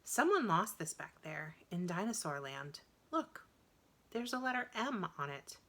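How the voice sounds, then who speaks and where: A woman's voice: fairly low pitch, very animated, a little slow, fairly bright tone, exaggerated voice. A woman voicing a puzzled, wondering young boy in a children's storybook, with emphatic delivery. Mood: excited.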